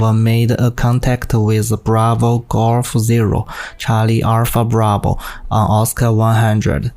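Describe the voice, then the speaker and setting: A man's voice: mid-range voice, mellow. A man from China with a Chinese accent, a native Chinese speaker, reading out a scripted technical presentation in a stiff, careful way. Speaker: amateur radio operator giving a technical presentation.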